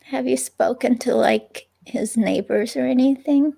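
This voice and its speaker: A woman playing a hesitant, uneasy young ex-girlfriend giving a police statement in a dramatic transcript reading, halting and full of fillers. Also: on the high side, vocal fry, dark tone, slightly rough, heavily acted. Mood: reflective, unsure. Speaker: reader playing an interviewee.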